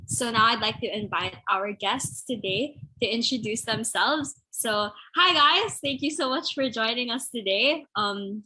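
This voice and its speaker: Young woman, podcast host, upbeat, chatty, slightly high, husky tone, video call from a bedroom. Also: sharp tone, low-quality recording. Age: young adult. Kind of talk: casual chat. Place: bedroom, indoors. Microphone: earphone mic on a video call.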